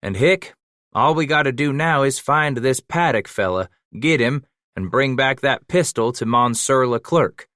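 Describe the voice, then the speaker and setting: A man's voice: medium pitch, lively intonation, unhurried, a bit bright, no room sound, studio quality, pitched above the performer's own voice. A man voicing a crude, coarse frontiersman who talks in swaggering, drawling slang.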